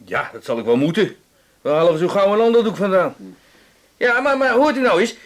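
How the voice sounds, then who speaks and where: Aggrieved cinema owner, very high voice, animated swings, halting stammer, self-pitying, radio drama. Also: male voice. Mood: flustered, defensive. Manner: stammering, halting, repeating words.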